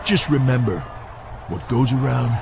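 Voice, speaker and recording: Man playing tough american wrestler in a game cutscene, blunt, mid-pitched, noisy audio. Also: moderate pace, gravelly, lightly acted. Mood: angry. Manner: blunt, dry.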